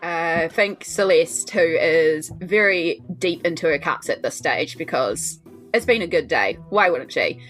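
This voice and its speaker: Bright, lively woman tabletop player, New Zealand accent. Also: deep voice, a little slow, faint music behind. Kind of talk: casual game chat.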